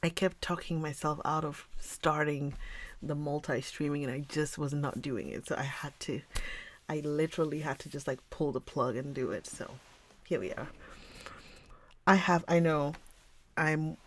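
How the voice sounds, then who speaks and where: A woman hosting a livestream, chatting casually with viewers in a rambling way and often dropping into a soft whisper. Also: sits low, normal speed. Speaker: livestream host reading books. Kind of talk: casual chat with live viewers.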